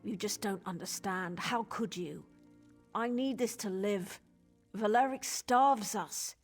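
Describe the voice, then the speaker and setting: A husky, desperate young vampire woman. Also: mid-range voice, slow, faint music behind. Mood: desperate, defiant. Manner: pleading, dramatic, intense. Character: young female vampire, starved, guilt-ridden and desperate for blood. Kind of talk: video game voice acting.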